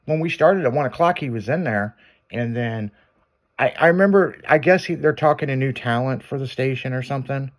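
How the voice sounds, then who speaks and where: A man's voice: mid-range voice, a little fast. Rambling, cussing male radio host. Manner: rambling, swears a lot, trails off with fillers. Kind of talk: casual on-air chat.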